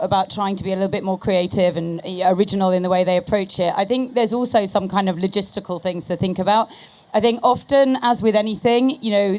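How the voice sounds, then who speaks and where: A woman speaking on a panel as a media agency expert advising brands. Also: medium pitch. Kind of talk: panel discussion talk.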